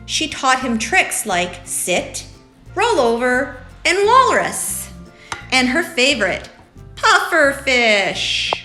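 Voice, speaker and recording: A woman hosting story time for young children, talking and reading aloud in an animated way, addressing them as friends. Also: sits high, slow pace. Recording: roomy echo, faint music.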